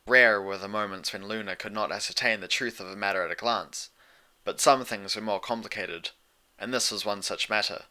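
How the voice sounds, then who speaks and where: A man's voice: on the low side, normal speed, sharp tone. A man reading a scripted story aloud as its narrator. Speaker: fan fiction narrator.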